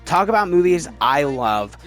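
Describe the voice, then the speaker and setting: Higher-voiced man gushing over films. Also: big pitch swings, moderate pace, soft background music. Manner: gushing fan enthusiasm, repeats words for emphasis. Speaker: video host ranking films. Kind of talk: casual opinionated commentary.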